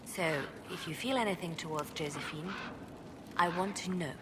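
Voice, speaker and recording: A guarded woman played by a female game actor watches over her friends with a low, measured voice. She is formal and pointed, with clear noisy ambience behind her. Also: natural voice. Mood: cool, probing. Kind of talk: video game cut-scene acting.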